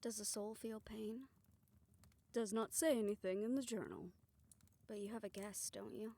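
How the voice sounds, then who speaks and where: A woman performing a scripted audio roleplay, voicing several characters in a soft, hushed way that often drops to a whisper. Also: mid-range voice, steady tone, moderate pace.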